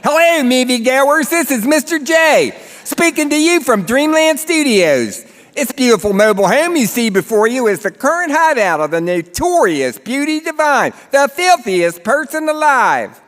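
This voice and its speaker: A man's voice: extremely high pitch, very animated, normal speed, a bit bright, pitched above the performer's own voice, exaggerated voice. A man voicing a sleazy, pompous male narrator with a thick Baltimore accent, read live in a reverberant hall. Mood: leering, showy. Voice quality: atrocious, nasal, showy. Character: sleazy male narrator, carnival-barker pomp.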